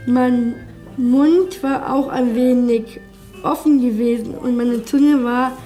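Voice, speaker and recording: A woman reading out her own autobiographical writing in deliberate phrases. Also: fairly high pitch, fairly even intonation, dark tone. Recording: music behind the voice. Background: music.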